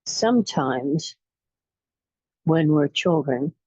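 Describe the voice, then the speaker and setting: Slow, mellow woman guiding relaxation. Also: low voice, animated, breathy edge, dry recording. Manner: soothing, unhurried, pausing between short phrases. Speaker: guided imagery facilitator. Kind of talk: guided relaxation meditation.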